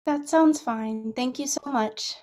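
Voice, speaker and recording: A woman's voice: high-pitched, slow, mellow. A woman playing a polite, curious young new patient in a staged play reading, with a tentative manner.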